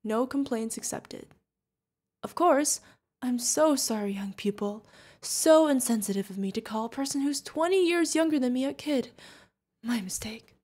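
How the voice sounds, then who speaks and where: A woman's voice: high-pitched, lively intonation, moderate pace, darkish tone. A woman playing a protective older witch mentor, fond, teasing and stern, in an ASMR fantasy roleplay. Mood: playful, wry. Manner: affectionate teasing, mock-exasperated. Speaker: voice actor.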